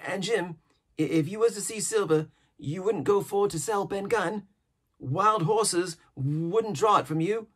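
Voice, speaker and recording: Storybook castaway pirate, played by a male reader: old, sly, excitable, high husky voice, rambling and repeating himself in rustic sailor talk. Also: measured pace, exaggerated voice. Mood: anxious, insistent. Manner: emphatic, repetitive, rustic sailor's speech.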